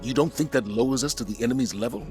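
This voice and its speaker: An actor playing a principled military commander in a sci-fi game, grave and restrained, with a husky voice. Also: male voice, mid-range voice, very fast, music in the background, close to an everyday voice. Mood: troubled. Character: burdened, principled male military commander, cautious and firm. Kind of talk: dramatic sci-fi game voice acting.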